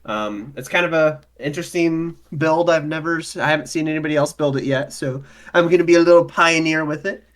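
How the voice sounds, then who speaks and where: A man on a video call with a somewhat high voice who rambles cheerfully. He stumbles and restarts often as he talks, and his tone stays light and upbeat. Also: brisk, hardly any pauses. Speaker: guest player. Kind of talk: casual chat on a video call.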